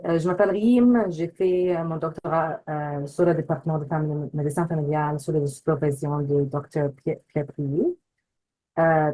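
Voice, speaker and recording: A woman, not a native speaker of the language, introducing a prize over a video call, halting and searching for words. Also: deep voice, mellow, rough recording. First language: not French. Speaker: prize committee co-chair. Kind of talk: webinar introduction.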